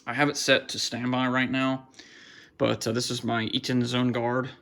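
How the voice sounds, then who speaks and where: A man with a creaky voice giving a casual, halting off-the-cuff show-and-tell as a hobbyist, half talking to himself. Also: mid-range voice, level tone, a bit bright. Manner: halting, muttering to himself while handling gear. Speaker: hobbyist collector presenting weather radios. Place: indoors, small cluttered room at home. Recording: faint background noise, clicks and handling sounds.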